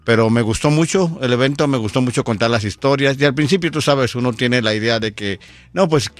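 A middle-aged man from Guatemala, telling his story as a radio guest, unhurried with many fillers. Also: mid-range voice. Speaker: storyteller and event producer, guest. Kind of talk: radio interview. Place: radio studio.

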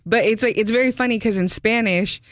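A woman's voice: on the high side, brisk, no room sound, studio quality. A woman hosting a music interview, bubbly and enthusiastic, with lots of "like". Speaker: radio host.

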